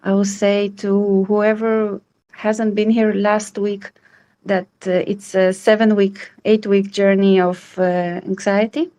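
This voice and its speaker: A woman's voice: mid-range voice, level tone, takes it slowly, mellow. A woman in her thirties from Israel, hosting an online support group in a welcoming, reassuring way over a video call. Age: 30s.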